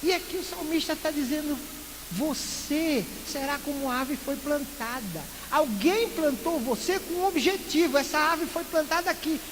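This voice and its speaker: A man from Recife preaching a sermon as pastor, lively, full of rhetorical questions and playful asides. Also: very high-pitched, moderate pace. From Recife, northeastern Brazil. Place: church sanctuary. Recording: echoey church hall with loud hiss.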